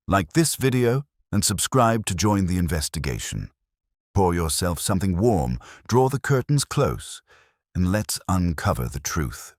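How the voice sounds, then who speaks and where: A man's voice: extremely low pitch, no echo, studio quality. A man narrating a scripted detective story in a dramatic, wry first-person manner. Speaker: narrator and host of a mystery story channel.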